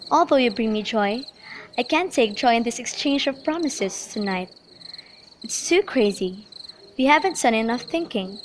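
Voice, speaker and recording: A woman's voice: fairly high pitch, expressive, moderate pace, close to an everyday voice. A woman playing an earnest, lovestruck young noblewoman in an amateur student radio drama of modernized Shakespeare. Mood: earnest, cautious. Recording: noisy home recording, crickets behind.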